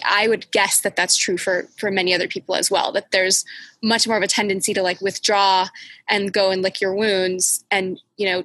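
Woman, bright tone, husky, reflective casual host. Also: medium pitch, dry recording. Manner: thoughtful, many fillers and restarts.

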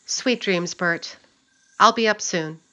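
A woman's voice: lowish voice, slow pace, sharp tone. A woman playing a cautious, caring small-town woman in a radio play. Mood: tender, resigned. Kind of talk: radio drama acting.

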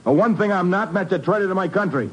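A man's voice: high voice, fast talker. An actor playing a rueful, defensive American construction supervisor in a 1950s radio drama, talking tough, regular-guy slang. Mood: defensive, agitated. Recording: noisy old radio recording.

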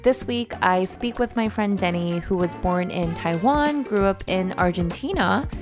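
A woman's voice: medium pitch, measured pace, music in the background. A young adult woman hosting a podcast, chatting curiously with a friend and using frequent fillers. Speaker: podcast host. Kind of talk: casual interview.

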